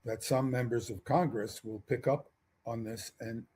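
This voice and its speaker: A man with a husky voice giving spoken commentary as an expert interview guest. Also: mid-range voice, fairly even intonation, slow pace, dark tone.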